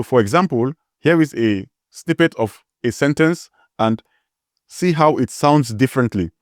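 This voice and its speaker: A man's voice: mid-range voice, no echo, clean studio sound. A man with a strong Nigerian accent hosting a tutorial, explaining step by step and spelling words out letter by letter. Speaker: tutorial host.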